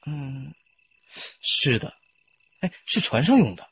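A man's voice: mid-range voice, very animated, takes it slowly, a bit bright, a little hiss or hum, exaggerated voice. An actor playing a nervous, eager young village man who asks anxious questions in a radio drama. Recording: dull lo-fi radio play audio.

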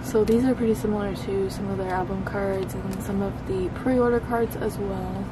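An American woman giving casual, unscripted unboxing commentary in a low-key, unhurried way. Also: fairly even intonation. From United States. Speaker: host of a K-pop merchandise unboxing channel. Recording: clearly noisy background hum.

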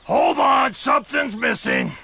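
Gravelly high-strained cartoon drill sergeant. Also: male voice, measured pace, exaggerated voice. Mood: barking. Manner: barking, forceful. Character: blustering macho military drill-sergeant camp counselor.

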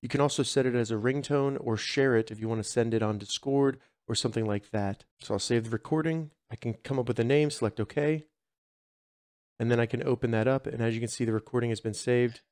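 A man narrates a how-to tutorial as its host. His pitch is average, and he lays out instructions step by step. The sound is close and free of room echo. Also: brisk, a little mellow. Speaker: host of tech tutorial channel.

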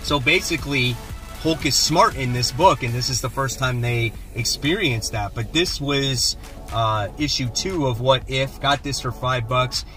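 A man in his forties hosting a casual vlog from a parked car, chatty and relaxed, filmed on a phone at arm's length. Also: medium pitch, a little slow, soft background music. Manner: relaxed, chatty, enthusiastic about his finds. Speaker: comic book channel host. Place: parked car, then outdoors and inside a shop. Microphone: phone camera at arm's length.

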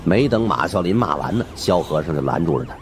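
A man's voice: deep voice, animated, quick pace, slight background noise. A man narrating an audiobook as a colloquial, conversational storyteller.

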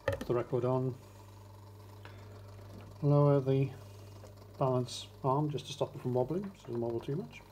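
A man with a mid-pitched voice explains a piece of machinery step by step. He speaks in short, plain instructions, as if working with his hands as he goes. There is clear background noise with small mechanical clicks. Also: moderate pace, pauses often, warm tone. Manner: plain, matter-of-fact instructions in short phrases. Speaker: demonstrator of a vintage record player. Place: indoors, beside a wooden radiogram.

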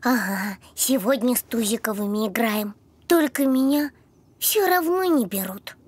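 A woman's voice: a little high, animated, a little slow, darkish tone, slightly rough, no room sound. A woman voicing an earnest little boy puppy in a children's cartoon, speaking in a childlike, pleading way. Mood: glum, curious.

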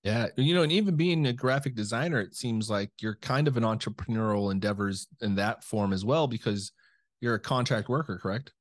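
A man's voice: fairly low pitch, fairly quick. A middle-aged man hosting a podcast interview over a video call, upbeat and friendly, asking loose, rambling questions. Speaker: podcast host. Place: home room. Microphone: studio mic with headset on video call.

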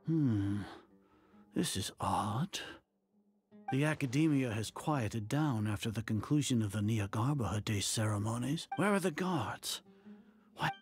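An actor playing an elderly grand sage, a proud scheming scholar who talks in a pompous, suspicious way and mutters to himself. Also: male voice, medium pitch, expressive, measured pace, faint music behind, close to an everyday voice. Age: older.

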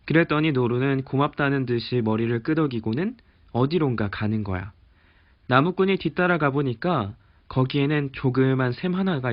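A man reading a fairy tale aloud to children in a gentle, chatty storytelling manner. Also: mid-range voice, highs cut off. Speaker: storybook reader.

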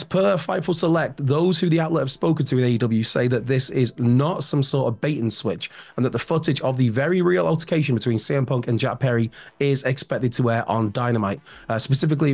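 Hoarse man chatting wrestling news. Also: mid-range voice. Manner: chatty, rambling with fillers and asides. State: slightly hoarse, lingering raspy voice. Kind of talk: solo news commentary. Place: home room with shelves, indoors. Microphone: large studio mic in front, headphones.

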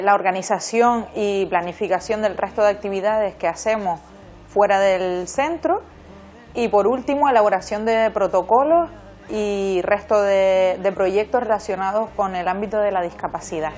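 A woman's voice: mid-range voice, darkish tone. A woman presenting her work to camera as a pedagogue. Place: small indoor room. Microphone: lapel mic. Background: music.

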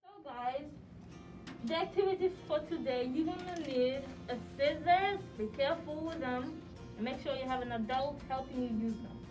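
A young woman in a small classroom, giving children clear step-by-step craft directions. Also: high-pitched, normal speed. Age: young adult. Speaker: student teacher leading a craft activity. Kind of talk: instructing children. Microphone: far camera. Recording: distant mic with music behind.

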